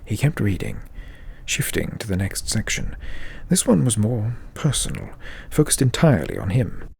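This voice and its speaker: A man's voice: fairly low pitch, huge pitch swings, moderate pace, vocal fry, darkish tone, husky. A man narrating a scripted fantasy audio drama as the storyteller.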